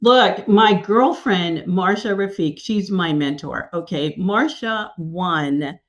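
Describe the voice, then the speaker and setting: A woman from New York City, an executive coach, telling stories as a podcast guest in an animated, enthusiastic way. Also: lowish voice, slow, lo-fi sound. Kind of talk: casual interview.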